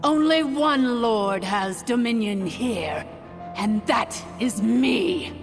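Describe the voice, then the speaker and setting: A woman's voice: a little high, animated, slow pace, creaky, exaggerated voice. A woman playing an arrogant, cruel, ancient dragon ruler in a video game, haughty, grandiose and contemptuous. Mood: scornful, grandiose. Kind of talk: video game villain acting. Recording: long reverb, music behind.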